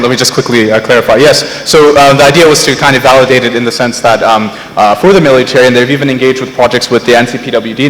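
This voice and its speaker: A man's voice: mid-range voice, fairly bright tone, slight background noise. A man from Nigeria who grew up in the US and Canada, a startup founder answering summit questions earnestly with many ums. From Nigeria, raised in the US and Canada. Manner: earnest, with frequent ums and restarts. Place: large conference hall. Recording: echoey conference hall.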